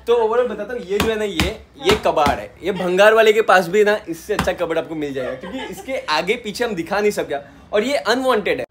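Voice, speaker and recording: Young male vlogger, higher pitch, playful and teasing, phone-mic selfie sound. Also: expressive, fairly quick, few pauses, noisy background. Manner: playful, joking, mixes Hindi and English. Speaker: vlogger host. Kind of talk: casual house-tour chat. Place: apartment rooms indoors. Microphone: phone at arm's length.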